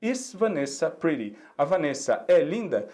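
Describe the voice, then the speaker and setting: A Brazilian man teaches English in a friendly lesson, his first language being Portuguese. His voice sits a bit high for a man and swings up and down with animated energy. He walks through exercises step by step, repeating phrases slowly so students can copy them. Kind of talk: classroom lesson explaining exercises.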